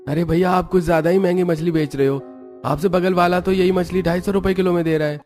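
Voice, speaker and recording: A man voicing a poor, affectionate labourer father in a cartoon story, homely and expressive. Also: sits high, fast talker, soft background music, close to an everyday voice. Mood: practical. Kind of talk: Hindi cartoon story acting.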